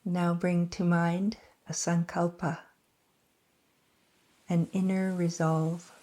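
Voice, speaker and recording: A woman guiding a yoga nidra meditation in a slow, soft, soothing voice with long pauses. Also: lowish voice, level tone. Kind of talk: guided meditation.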